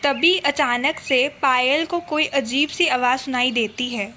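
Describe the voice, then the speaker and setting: A woman narrates a children's story in a high, bright voice. She takes her time, telling it at a slow, scripted pace. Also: slight background noise.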